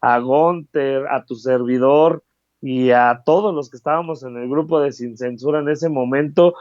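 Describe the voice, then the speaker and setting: A man's voice: medium pitch, normal speed, darkish tone, no echo. A man with a Mexican Spanish accent co-hosting political commentary, speaking earnestly and repeating phrases for emphasis. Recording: dry, dull lo-fi sound.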